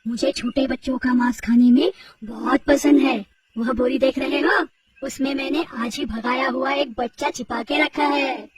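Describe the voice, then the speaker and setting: Old female witch, put on by a narrator: high-pitched, gloating, quick-tempered, storybook horror. Far from mic, loud music. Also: fairly even intonation, crowd noise, natural voice. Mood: boastful, cackling. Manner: gloating, theatrical, drawn-out words. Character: old child-eating witch, greedy, cackling, quick to anger. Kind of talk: dramatised storybook horror tale for children.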